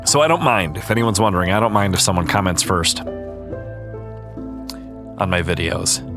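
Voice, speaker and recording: A man with a low, unhurried voice chats easygoing through his gameplay and reads viewer comments aloud. His nose sounds a little stuffy, and soft music plays behind him. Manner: calm, easygoing, chatty. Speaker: gaming channel host. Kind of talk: relaxed commentary while reading comments.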